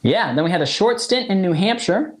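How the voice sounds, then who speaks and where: A man's voice: high voice, expressive, a little fast, a little hiss or hum. A man in his forties from South Africa whose first language is Zulu, telling his family story as a musician guest in a casual interview, rambling with frequent laughs and restarts.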